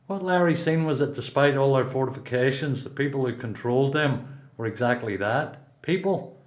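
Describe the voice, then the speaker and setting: Northern Irish male, radio play prisoner man acting, reflective, plain-spoken, regretful absent father, mid-pitched, echoey room. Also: normal speed, lightly acted. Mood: firm, urging. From Belfast, Northern Ireland. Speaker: amateur performer in a radio play. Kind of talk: radio play dialogue and monologue.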